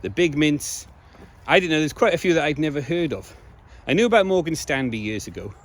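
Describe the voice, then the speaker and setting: A slightly hoarse man speaking in a casual, emphatic monologue to viewers, repeating key phrases for stress. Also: a little high, animated, fairly quick. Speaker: video blogger.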